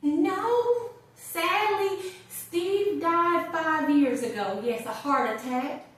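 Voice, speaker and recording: A chatty, wry older woman, acted by a woman in a stage monologue, talks in a high, slow voice with conversational pauses. The room is very echoey around her. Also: a bit bright, far from the mic, exaggerated voice. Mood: wistful, chatty. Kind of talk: theatrical audition monologue.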